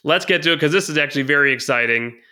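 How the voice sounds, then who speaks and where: Bright-voiced man hosting a podcast. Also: a little high. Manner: measured, long-winded explaining. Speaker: podcast co-host. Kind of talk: podcast talk and interviewing.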